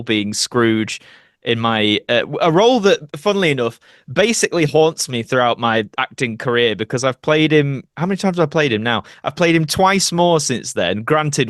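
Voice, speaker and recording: A man's voice: mid-range voice, a bit bright, dry recording, clean studio sound. A young British man chatting casually as an interview guest, reflective and anecdotal. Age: young adult. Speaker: podcast guest, actor and YouTuber.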